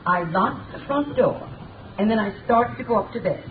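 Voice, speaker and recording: A woman's voice: mid-range voice, animated, normal speed, narrow, tinny audio, natural voice. Lady playing a timid polite housekeeper, hesitant, old scratchy radio drama, echoey and far away. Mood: deferential. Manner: hesitant, respectful. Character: deferential, nervous female housekeeper. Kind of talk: 1930s radio drama acting.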